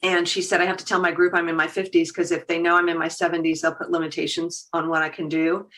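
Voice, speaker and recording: Fifties woman chatting on a podcast, kinda low voice, animated, laughs a lot. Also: fast talker. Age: 50s. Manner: animated, laughs easily, quotes her own thoughts. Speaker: guest, agency founder. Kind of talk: casual conversation.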